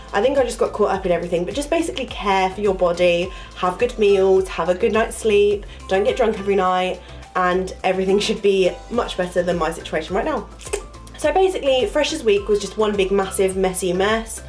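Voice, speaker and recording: A woman's voice: medium pitch, a little mellow. A young woman chatting to camera, her voice nasal from a cold, rambling with lots of fillers. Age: young adult. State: congested, nasal from flu. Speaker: vlogger, university student. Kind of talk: casual storytelling to camera.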